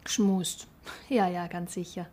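An actress playing a curious woman gently prompting someone's memories in a naturalistic radio drama. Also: lowish voice, steady tone, measured pace, natural voice.

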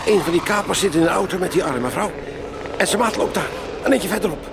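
A man's voice: high-pitched, expressive, brisk, vocal fry, heavy background noise. A man playing a hearty, commanding police sergeant in a radio play, speaking to his team with jovial authority. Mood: urgent, commanding. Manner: jovial authority, addresses his companions as lads.

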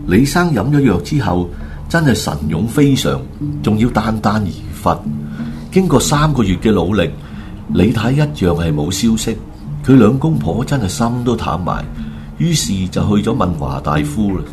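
Lively, low-voiced Hong Kong Cantonese male narrator. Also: normal speed, over music. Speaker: radio drama narrator. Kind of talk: scripted comic storytelling narration.

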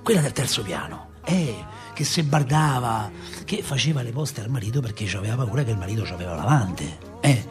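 A man's voice: medium pitch, a little fast, few pauses, music in the background, natural voice. An actor playing a chatty Roman father who tells city tales in a folksy, rambling way, with a Roman accent. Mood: amused gossip. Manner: animated, folksy, rambling, rhetorical. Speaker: actor in a radio theatre monologue. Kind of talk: colloquial dramatic storytelling.

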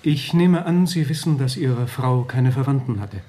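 Radio drama: man playing polite male solicitor, medium pitch, grave, measured and businesslike. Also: dark tone, slight background noise, close to an everyday voice. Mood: courteous, formal. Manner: polite, measured, matter-of-fact. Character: formal, sober male lawyer and executor.

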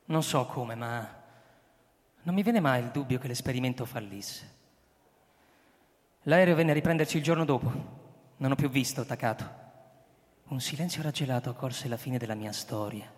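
A male actor plays a somber, resigned man narrating in a radio drama. His voice is mid-pitched, with sober, deliberate delivery. Long reverb surrounds every phrase. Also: close to an everyday voice. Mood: grave, resigned. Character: grave, resigned man recounting and confessing a catastrophe. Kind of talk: radio drama acting, first-person narration.

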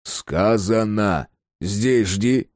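A gruff village man in a storybook reading, done by a man, speaking in a low, mellow voice close and dry. He sounds curt and grim. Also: drawn-out words, dry recording. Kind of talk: audiobook character voice.

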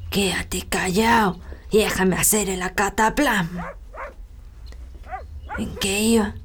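A woman's voice: mid-range voice, fairly even intonation, slow pace, slight background noise, close to an everyday voice. A woman playing a bossy, mysterious old country healer in a radio drama, scolding in a rural Chilean accent. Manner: scolding, colloquial, sometimes hushed.

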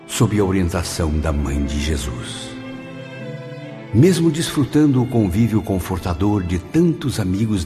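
A man's voice: low voice, dark tone. An actor playing a remorseful spirit of a man, narrating solemnly in a radio drama. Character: remorseful male suicide spirit recounting his long penance. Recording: long reverb, music behind.

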